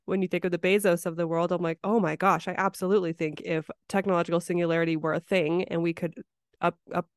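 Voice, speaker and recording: Smooth-voiced woman hosting a casual podcast. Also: fairly low pitch, flat delivery, fast talker, dry recording, clean studio sound. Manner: informal, conversational. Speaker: podcast host. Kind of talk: casual interview chat.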